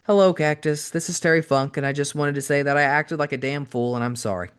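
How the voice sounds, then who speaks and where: A man's voice: fast, clean tone, lightly acted. A man doing a mumbling old Texan wrestler. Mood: sheepish. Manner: low mumbling. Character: gruff apologetic old male wrestler.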